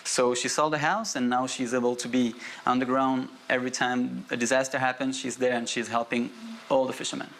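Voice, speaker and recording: A man's voice: medium pitch, level tone, brisk, gravelly, fairly bright tone, a little hiss or hum, low-quality recording. A man co-hosting a TV magazine show, talking conversationally with fillers and restarts. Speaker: TV program co-host. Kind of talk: studio conversation.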